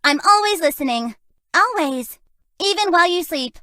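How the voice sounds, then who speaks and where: A woman's voice: extremely high pitch, lively intonation, moderate pace, sharp tone, dry recording, studio quality, exaggerated voice. A woman voicing a perky, creepily clingy female mobile assistant AI in a video game, chirpy and salesy. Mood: perky, creepy. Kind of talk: video game character acting.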